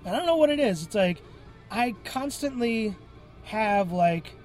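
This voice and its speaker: Male podcast host, high voice, congested and sniffly, rambling solo monologue with constant fillers; music bed underneath. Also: animated, measured pace, a little mellow. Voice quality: stuffy, congested nose. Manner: clears throat and sniffs often; rambling, many fillers and self-corrections. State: tired.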